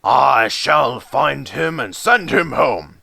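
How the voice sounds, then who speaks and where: A man's voice: medium pitch, expressive, slow, gravelly, bright, forceful tone, no room sound, voice pushed up, full character voice. A man voicing a stern, exasperated railway controller with a commanding, emphatic manner in a storybook reading.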